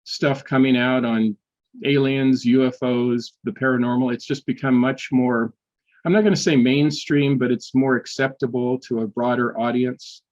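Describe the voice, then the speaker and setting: Halting older man on dull audio. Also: mid-range voice, fairly even intonation, darkish tone. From United States. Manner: halting, many restarts and fillers. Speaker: guest, history professor. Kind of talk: casual interview storytelling.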